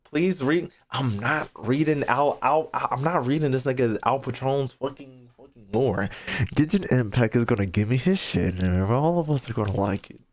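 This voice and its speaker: A man's voice: medium pitch, expressive, normal speed. A man thinking aloud as a gaming streamer, casual and slangy, swearing freely, repeating phrases and trailing off mid-thought.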